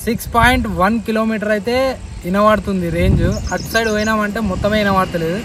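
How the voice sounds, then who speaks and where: A man's voice: high voice, fairly even intonation, fast, background noise. A young man with a Telangana accent chatting casually and informally to a camera. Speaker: range-test helper. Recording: loud music behind.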